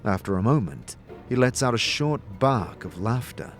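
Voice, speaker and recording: A man reading scripted fantasy audio drama narration in a measured, atmospheric storytelling manner. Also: medium pitch, animated, soft background music.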